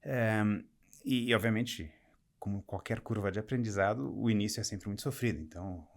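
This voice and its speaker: A man raised in Portugal, with a Portuguese accent softened by years in Brazil, talks reflectively as a podcast guest, explaining and rephrasing as he goes. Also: on the low side, a little fast, creaky. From Portugal, raised there from age seven. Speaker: podcast guest, business executive. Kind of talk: conversational interview, personal career account.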